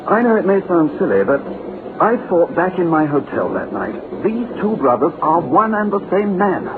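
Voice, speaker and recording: Radio-play clerk: young Englishman, earnest, excitable, polite; very lively pitch, tinny old recording. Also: moderate pace, background noise. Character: earnest, eager, deferential young male clerk. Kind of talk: old-time radio drama acting.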